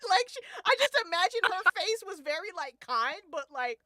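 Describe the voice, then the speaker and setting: A woman's voice: very high-pitched, sing-song, normal speed, very noisy. A woman chatting casually as a writer about her story, in slangy, repetitive bursts, acting out what characters say. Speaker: writer of the show. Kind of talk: casual chat, re-enacting characters' lines.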